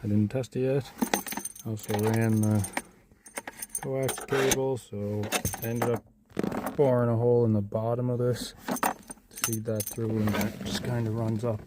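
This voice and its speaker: A man's voice: mid-range voice, little pitch movement, unhurried, pauses often. A man giving a casual, unscripted walkthrough of a hands-on job, half talking to himself as he works. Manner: unscripted, muttering to himself while working. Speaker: vlogger demonstrating a DIY vehicle install. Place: in and around a vehicle. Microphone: handheld camera microphone. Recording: noisy handheld camera, clicks and rattles.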